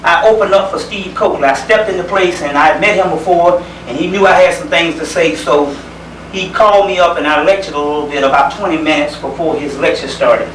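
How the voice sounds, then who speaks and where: A man from South Carolina with a Southern US accent, giving an informal lecture in a folksy, conversational manner with pauses and repeated phrases. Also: on the high side, fairly bright tone. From South Carolina, United States. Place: indoor lecture gathering. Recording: roomy, distant microphone, faint background noise.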